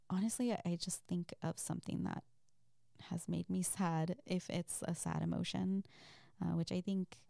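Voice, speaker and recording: A woman chatting casually as a host, reading out and answering listener questions in a soft, giggly, rambling and hesitant way. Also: on the low side, vocal fry, dry recording, clean studio sound. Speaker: audio creator and host.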